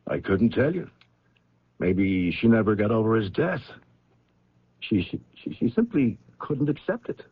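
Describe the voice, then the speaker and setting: A flustered man being questioned in a radio drama. His lowish, husky voice jumps around as he stammers and hedges defensively. The recording is an old, dull radio one. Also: very animated, full character voice. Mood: evasive, flustered. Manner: halting, stammering, hedging. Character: evasive, flustered man under police questioning.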